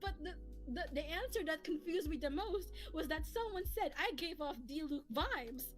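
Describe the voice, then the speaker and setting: High-voiced, animated woman streamer. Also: moderate pace. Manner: animated, giggly, rambling. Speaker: streamer and host who voices comic dubs. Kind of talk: casual livestream chat with friends. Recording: lofi music playing behind. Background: soft lofi background music.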